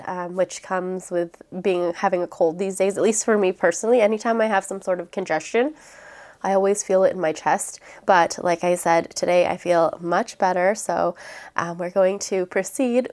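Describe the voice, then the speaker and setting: Woman, young adult, congested nasal voice, casual rambling vlog chat, slightly low pitch. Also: a little mellow. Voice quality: stuffy, nasal. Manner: chatty, rambling with many fillers. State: congested, stuffy nose. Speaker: vlogger.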